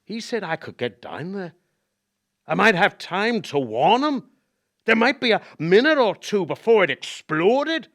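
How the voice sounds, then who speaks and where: An actor playing a haunted former cab driver telling a grim memory in a radio play, halting and emotive. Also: male voice, sits high, sing-song, a bit bright, exaggerated voice. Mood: anguished. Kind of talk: dramatic radio play monologue.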